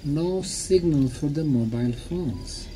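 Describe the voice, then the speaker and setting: A man lecturing in short, emphatic phrases, pausing for an interpreter, with a deliberate, didactic manner. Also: on the high side, lively intonation, warm tone, a little hiss or hum, poor audio. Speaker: lecturer and guide.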